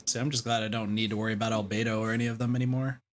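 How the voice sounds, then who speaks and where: A man's voice: lowish voice, little pitch movement, rapid-fire, slight background noise. A man giving casual livestream commentary on a game, muttering to himself and swearing mildly. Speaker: game streamer.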